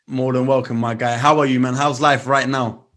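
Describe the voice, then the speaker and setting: A man with a London accent hosting a hip hop radio show, energetic and friendly, often calling his guest "my brother". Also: mid-range voice, moderate pace. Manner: hype, calls guest "my brother" and "my guy".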